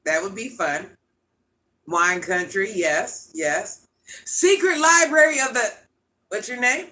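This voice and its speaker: A man with an itchy, scratchy throat, hosting a livestream in a grandmother persona and chatting through viewer comments with quick laughs and asides. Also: high-pitched, expressive, sharp tone. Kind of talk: casual live chat answering viewer comments.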